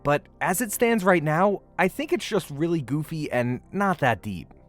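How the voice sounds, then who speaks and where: A man's voice: a little high, animated, normal speed. A man narrating a scripted but casual review as a video host, in a conversational, emphatic and playful way. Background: music.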